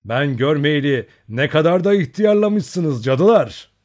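A man playing a loud, swaggering young Cossack. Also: mid-range voice, lively intonation, fast, close to an everyday voice. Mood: jaunty teasing.

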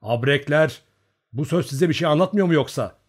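A man voicing an excitable, hot-headed young Cossack sentry in an audiobook story, exclaiming urgently. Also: fairly high pitch, moderate pace, husky, voice pushed up. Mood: agitated.

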